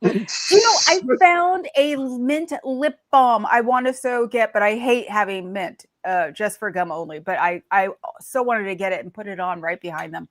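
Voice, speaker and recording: A woman doing a comic deadpan reading, full of crude cussing. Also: medium pitch, moderate pace, no room sound, studio quality.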